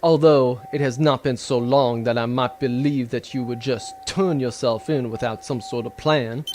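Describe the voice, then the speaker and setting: A man's voice: mid-range voice, steady tone, normal speed, darkish tone, slight background noise, close to an everyday voice. A man playing a scheming villain baron in an audio adventure, condescending, menacing and mockingly polite. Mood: smug, mocking. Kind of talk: audio adventure drama acting.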